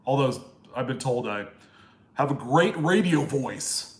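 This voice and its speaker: A man with a nasal voice at a middle pitch, chatting loosely and warmly with his viewers. He rambles from one question to the next and greets people by name. The sound has an echoey, roomy feel. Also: animated, slow pace. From East Coast US, Maryland. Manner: rambling and friendly, reading out viewer names. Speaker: live stream host answering chat questions. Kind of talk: casual live Q&A chat.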